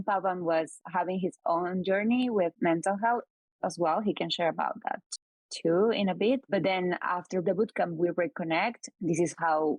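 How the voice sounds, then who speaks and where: A woman's voice: lowish voice, darkish tone, dry recording, low-quality recording. A woman from Peru, a startup co-founder, answering interview questions earnestly and often using "like" as filler.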